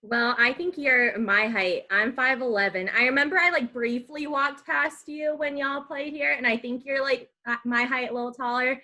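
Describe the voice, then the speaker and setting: American lady podcast host, bright highish voice, chatty and informal, pokes fun at herself. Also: fairly even intonation, moderate pace, hardly any pauses. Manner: chatty, informal, self-deprecating. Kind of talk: casual chat interviewing.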